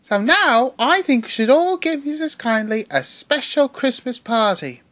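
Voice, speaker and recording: A woman's voice: high-pitched, sing-song, a little slow, dry recording, full character voice. A woman playing a cheerful little tank engine. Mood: eager. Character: cheerful eager young male tank engine. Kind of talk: fan audio drama. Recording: loud background music.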